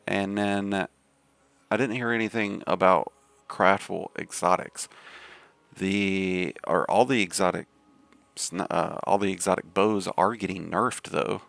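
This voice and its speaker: A man from the Southern US with a deep voice and a Southern accent, chatting casually on a game live stream. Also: steady tone, unhurried, gravelly, fairly bright tone, no echo. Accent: Southern American. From Southern United States. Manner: laid-back, rambling with many fillers.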